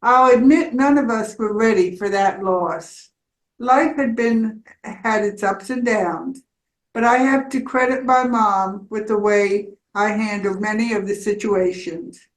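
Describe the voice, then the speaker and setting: A woman's voice: medium pitch, measured pace. A woman in her eighties reading a personal memoir aloud as scripted narration in a staged community reading. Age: 80s. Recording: roomy, echoing live space.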